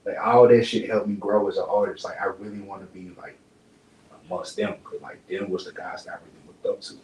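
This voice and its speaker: A man's voice: fairly low pitch, steady tone, fairly quick, a little mellow. A young man from Dallas, a rapper and podcast guest, talking in a laid-back, slangy way, looping through filler phrases. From Dallas, Texas. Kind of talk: relaxed interview chat. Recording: echoey room, mic far off, faint hum.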